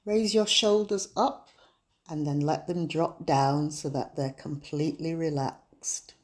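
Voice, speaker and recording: A woman guiding a meditation in a soothing, measured, unhurried manner. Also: deep voice. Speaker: meditation guide. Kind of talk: guided meditation narration. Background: soft soothing music.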